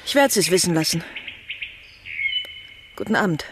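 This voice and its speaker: An actress playing a polite, self-assured aristocratic lady in a radio drama, lonely and gently insistent. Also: lowish voice, lively intonation, moderate pace, background noise, natural voice. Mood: composed, insistent.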